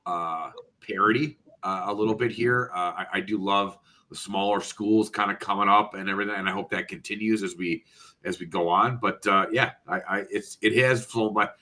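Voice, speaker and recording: A man's voice: on the low side, fairly even intonation, moderate pace, sharp tone. A congested man with a slightly nasal voice co-hosting a sports podcast, thoughtful and full of "uh" and "um". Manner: thoughtful, lots of "uh" and "um" while he works out his points. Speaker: podcast co-host. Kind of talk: casual sports podcast chat.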